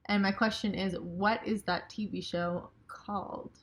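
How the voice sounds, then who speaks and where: A woman's voice: medium pitch, steady tone, unhurried. A woman, an author, speaking informally in a recorded message to a library audience. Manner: chatty and informal.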